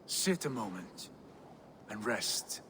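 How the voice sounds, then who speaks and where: An actor playing a weary, caring Viking warrior with a gentle, halting delivery in a game cutscene. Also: male voice, lowish voice, sing-song. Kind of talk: dramatic video game cutscene acting. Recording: long reverb, faint noise.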